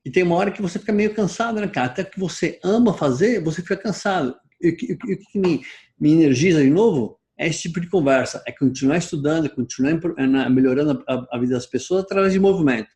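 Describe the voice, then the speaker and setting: A man's voice: a little high, quick pace, a little mellow. A man who runs a gym, talking casually and in a rambling way, a bit rusty in the language. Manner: rambling, says he is out of practice with the language. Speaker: gym owner, trainer. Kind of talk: casual discussion.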